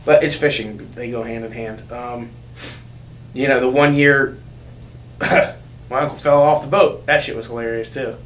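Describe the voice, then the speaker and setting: A man's voice: mid-range voice, steady tone, a little slow, pauses often, fairly bright tone. A man in his twenties telling casual, rambling fishing-buddy anecdotes with crude humor in a laid-back way. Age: 20s. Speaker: interviewee, recreational angler. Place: indoors against dark backdrop. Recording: echoey room, faint background noise.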